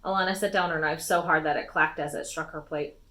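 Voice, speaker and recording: A woman reading a fantasy novel aloud as an expressive storytime narration. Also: low-pitched, a little fast. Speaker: storytime reader.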